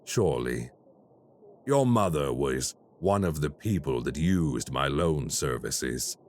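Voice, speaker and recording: An actor playing a polite, quietly menacing male crime boss in an audio roleplay. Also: extremely low pitch, expressive, a little hiss or hum, exaggerated voice. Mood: smooth menace. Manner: slow, courteous and quietly menacing.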